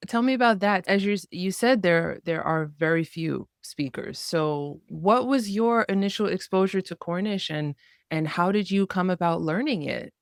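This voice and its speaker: A woman hosting a podcast, her voice a touch low, full of eager enthusiasm as she reads out introductions and asks questions. The sound is close and dry. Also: normal speed, gravelly, no room sound. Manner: enthusiastic, warm interviewer. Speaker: podcast host. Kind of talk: podcast interview.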